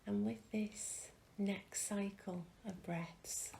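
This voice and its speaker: A woman leading a guided mindfulness meditation, speaking calmly and gently with long pauses and soft, breathy phrase endings. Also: mid-range voice, rough recording. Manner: calm, gentle, unhurried with pauses. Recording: faint background noise.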